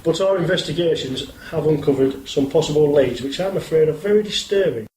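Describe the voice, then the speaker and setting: A man's voice: fairly high pitch, brisk, darkish tone, lightly acted. A British man playing an earnest, guarded police inspector in a radio drama, speaking formally and politely. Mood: grave, guarded. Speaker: actor in amateur radio play. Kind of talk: scripted radio drama dialogue. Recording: roomy, hissy amateur radio play recording.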